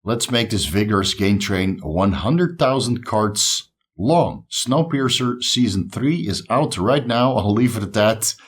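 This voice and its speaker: A man with a Dutch accent talks to his viewers in a fairly low voice, casual and encouraging. He has a slight speech impediment and stumbles now and then over English words, which is not his first language. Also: lively intonation, measured pace, husky. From the Netherlands. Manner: upbeat, encouraging. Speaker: YouTube host. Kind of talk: casual talk to camera.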